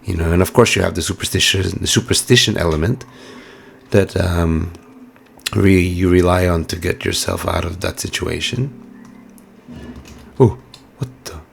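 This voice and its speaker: A hoarse man giving casual, musing let's-play commentary with plenty of fillers while he plays. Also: low voice, animated, moderate pace, a little hiss or hum. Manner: musing, chatty, many fillers. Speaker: game streamer.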